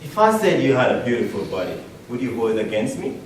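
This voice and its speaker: A man's voice: medium pitch, very animated, fast. A man performing short scripted lines in a readers theater show. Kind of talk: staged dramatic reading. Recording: very echoey hall, distant mic, faint noise.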